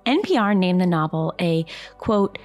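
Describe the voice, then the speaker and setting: A woman's voice: on the low side, animated, moderate pace, darkish tone, soft background music. A woman announcer giving a polished, scripted promotional read.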